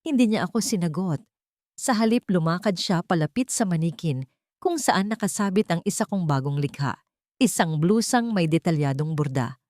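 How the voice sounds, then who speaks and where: Low-pitched woman narrating a dramatic story. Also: expressive, moderate pace, a little mellow, no room sound, clean studio sound. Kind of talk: scripted first-person dramatic narration.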